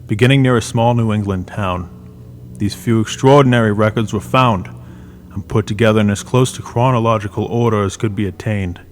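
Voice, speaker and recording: A man tells the story in a slightly low, measured and solemn voice. It is scripted radio drama narration, with a faint hiss running underneath.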